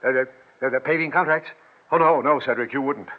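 An actor playing a blustery, sputtering crooked small-town mayor in an old-time radio drama. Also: male voice, mid-range voice, a little fast, natural voice. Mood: nervous, placating. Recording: thin, phone-like old radio recording.

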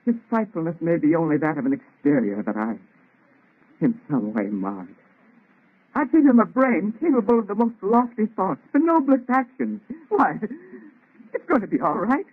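Arrogant mad scientist, husky grandiose declaiming, wide melodramatic swings, tinny old radio sound. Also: male voice, high-pitched, very animated, moderate pace, exaggerated voice. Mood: brooding, anguished. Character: arrogant, feverishly obsessed scientist creator of a monster. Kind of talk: 1930s radio melodrama acting. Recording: tinny, band-limited old radio recording.

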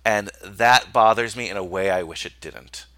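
Hesitant man with a bright dry voice. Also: on the low side, normal speed, no room sound. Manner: hesitant, filler-heavy, self-searching. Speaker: podcast co-host. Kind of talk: casual chat.

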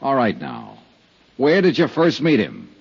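An American actor playing a hard-nosed, skeptical insurance investigator, questioning bluntly in the style of 1950s radio detective drama. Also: male voice, mid-range voice, huge pitch swings, unhurried, gravelly, husky, heavily acted. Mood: pressing, impatient. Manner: blunt, pressing interrogator. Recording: dull old 1950s radio recording.

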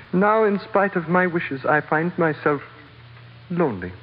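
Pompous self-pitying sultan, swooping voice. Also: male voice, fairly high pitch, lively intonation. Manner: formal, pompous, deliberate phrasing. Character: self-pitying, callous sultan, grandly mournful. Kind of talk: 1940s radio acting. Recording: muffled old radio recording, hollow reverb, hiss.

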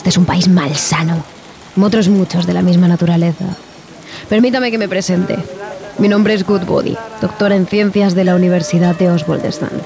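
A woman's voice: lowish voice, fairly even intonation, fairly quick, creaky. A woman playing a feverish, agitated scientist pleading to escape the jungle in an audio horror drama. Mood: feverish insistence.

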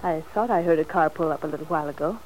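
An actress playing a bitter, anxious young wife in a vintage radio soap, with a brittle, ironic, weary manner. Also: female voice, low-pitched, rapid-fire, darkish tone, natural voice. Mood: cool, subdued. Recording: faintly noisy old radio recording.

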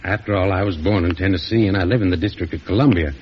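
An actor playing a humble, earnest young war veteran in an old-time radio drama, speaking politely and respectfully. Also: male voice, deep voice, fast talker, slightly rough. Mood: polite, hesitant. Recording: muffled, noisy vintage radio recording.

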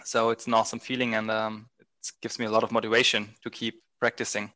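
Bright, smooth-voiced musician. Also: male voice, lowish voice, fast talker. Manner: relaxed, rambling, many fillers. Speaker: band musician. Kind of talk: interview answers.

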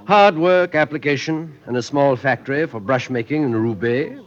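A man's voice: medium pitch, moderate pace, natural voice. A man acting a boastful, gullible middle-aged French factory owner in a 1950s radio drama, theatrical and expansive. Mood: pleased, flattering. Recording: muffled vintage radio recording.

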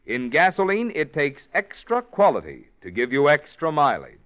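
Vintage male announcer, persuasive, very animated pitch, lo-fi. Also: moderate pace. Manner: smooth, persuasive announcer delivery. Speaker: radio announcer. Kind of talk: vintage radio commercial read. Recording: dull old radio recording.

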